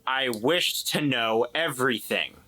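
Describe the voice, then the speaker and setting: A man's voice: medium pitch, a little slow, bright, forceful tone. A man playing a defensive, evasive and distrustful man in a tabletop drama, stammering and repeating himself as he explains. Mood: insistent, earnest.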